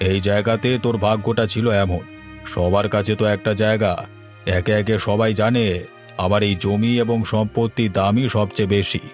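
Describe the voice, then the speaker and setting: A man's voice: lowish voice, flat delivery, moderate pace, close to an everyday voice. A man voicing a selfish, haughty rich village landlord in a cartoon, dismissive in manner. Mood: haughty, scornful. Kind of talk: cartoon dialogue, melodramatic. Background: faint background music.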